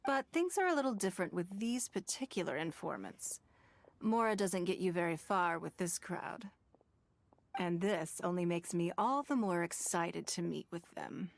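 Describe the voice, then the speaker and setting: Poised, low-voiced noblewoman. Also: animated, creaky. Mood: composed, businesslike. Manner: composed, measured, refined. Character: poised, gracious noblewoman, shrewd and fond of children. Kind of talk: video game voice acting.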